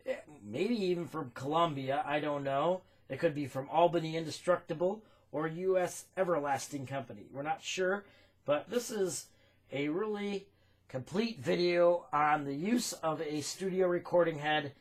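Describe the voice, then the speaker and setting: A man with an American accent giving an informal technical explanation as a demonstrator, in a deliberate, halting way. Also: fairly high pitch. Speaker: phonograph recording demonstrator.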